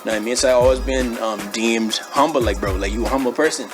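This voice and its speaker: A man's voice: mid-range voice, quick pace. A young man with a Bahamian accent chatting casually as an interview guest, in a laid-back, slangy way. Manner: laid-back, slangy, frequently says bro and you know what I mean. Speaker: interview guest, former athlete and brand owner. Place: studio. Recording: music playing behind the voice. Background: music.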